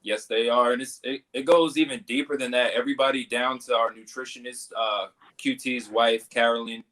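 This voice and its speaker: A young man from Long Island, a wrestler guest in a casual interview, relaxed and easygoing, laughing often. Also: medium pitch, normal speed, rough recording. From Long Island, New York.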